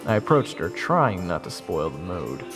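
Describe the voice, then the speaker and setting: A man's voice: deep voice, big pitch swings, normal speed, long reverb tail, music in the background. Wry young man narrating. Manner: wry, self-deprecating. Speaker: narrator and lead. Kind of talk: first-person story narration.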